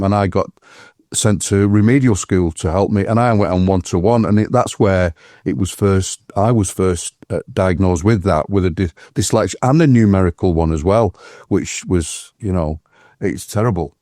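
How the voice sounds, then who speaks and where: A man's voice: deep voice, mellow, no echo, studio quality. Man. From England. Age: 60s. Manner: rambling, halting with restarts. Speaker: podcast guest. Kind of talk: casual chat.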